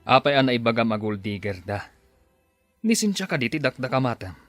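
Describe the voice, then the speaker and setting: An animated male voice acted by a man plays an inquisitive, sensible boss in a radio drama. It is closely recorded and dry, with expressive questioning and soft music behind. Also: medium pitch, brisk, dry recording. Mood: probing.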